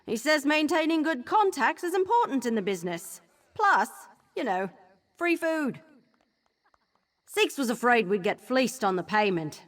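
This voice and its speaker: A woman voicing a cheeky, eager young apprentice thief in a video game, chatty, playful and bubbly. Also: high-pitched. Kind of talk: scripted video game dialogue.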